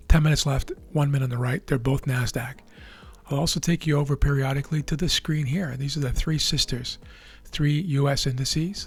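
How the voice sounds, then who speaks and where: Raspy man talking through trading charts. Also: a little high. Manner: measured, thinking aloud with fillers and restarts. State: losing his voice. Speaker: trading video host. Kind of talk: explaining live market charts.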